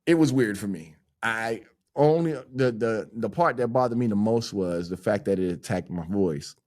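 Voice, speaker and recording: Low-voiced man rambling on a podcast. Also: moderate pace, darkish tone. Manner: loose, rambling talk full of "like" and "um". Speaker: podcast host. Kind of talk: casual podcast chat.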